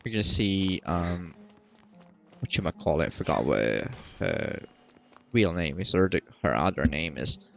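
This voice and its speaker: A woman's voice: very deep voice, very animated, measured pace, gravelly, fairly bright tone. A woman giving casual, informal, rambling commentary as a gaming video host. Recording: faint game music behind.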